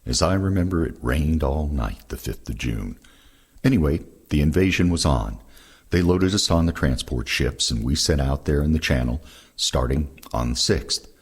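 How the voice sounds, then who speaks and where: A man's voice: rumbling low, moderate pace, husky. A man reading first-person war memoirs aloud in a plain-spoken, folksy storytelling way. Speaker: reader of veterans' oral histories.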